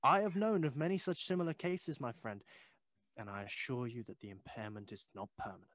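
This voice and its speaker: A sceptical Victorian gentleman of reason, acted by a man in a period radio play. His speech is formal, measured and insistent, at a middling pitch. The sound is dry and close. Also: no echo, close to an everyday voice. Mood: reasoning, reassuring. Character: rational, sceptical Victorian gentleman reassuring the signalman. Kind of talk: period audio drama acting.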